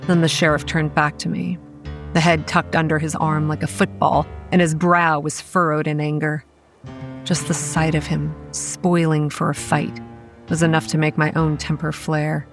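Horror podcast lead: a hot-headed young woman running a campground, lower register, earnest dramatic narration with bite, over faint music. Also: little pitch movement, moderate pace. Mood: brooding. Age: young adult. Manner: earnest, dramatic storytelling with sardonic edge. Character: young woman campground manager, guarded, hot-tempered, stubborn. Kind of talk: horror audio drama, first-person narration and dialogue.

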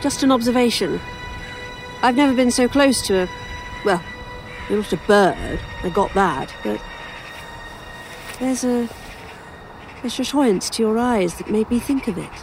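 A woman's voice: fairly high pitch, expressive, unhurried, pauses often, music in the background, poor audio. A woman playing an inquisitive, wry author in an audio drama, rambling and musing as she talks. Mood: curious, musing.

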